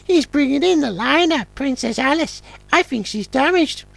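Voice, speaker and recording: A man's voice: extremely high pitch, expressive, moderate pace, vocal fry, a bit bright, no echo, pitched above the performer's own voice, exaggerated voice. A man voicing a young female seaplane, reporting news in a breathless, alarmed way. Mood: urgent.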